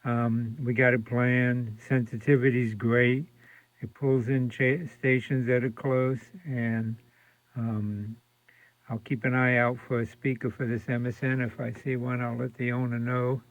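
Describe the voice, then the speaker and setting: A man talks casually as he demonstrates a restored radio, feeling his way through halting sentences. His voice sits at an ordinary pitch, caught in muffled, lo-fi home video sound. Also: little pitch movement, dry recording. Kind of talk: casual, unscripted demonstration talk. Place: indoor room with fluorescent lights.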